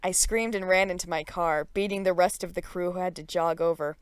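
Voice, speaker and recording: A woman's voice: on the low side, fairly even intonation, fairly quick, smooth, no echo. A woman reading a comic story aloud in a mock-dramatic, theatrical and playfully emphatic way.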